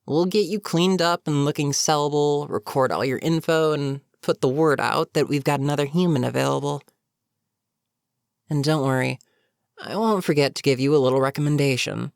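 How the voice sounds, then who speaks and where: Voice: mid-range voice, moderate pace, vocal fry, smooth, no room sound, studio quality, close to an everyday voice. A performer voicing a weary goblin captain in a roleplay audio, chatting casually and sardonically with a captive, amused yet businesslike. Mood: businesslike, fond. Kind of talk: immersive character roleplay monologue, conversational.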